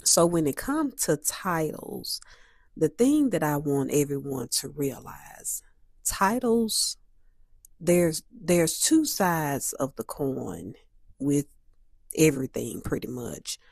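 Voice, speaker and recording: A woman hosting a solo talk, a little stuffy and hoarse from a cold, speaking in a measured way that repeats and restates her points. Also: low-pitched, sing-song, a little mellow, no echo, studio quality. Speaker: host.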